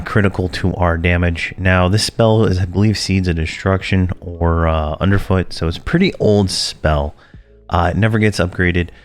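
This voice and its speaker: A man losing his voice, talking casually and rambling through a game walkthrough with many fillers and restarts. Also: deep voice. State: losing his voice, a bit rough. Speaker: game guide creator.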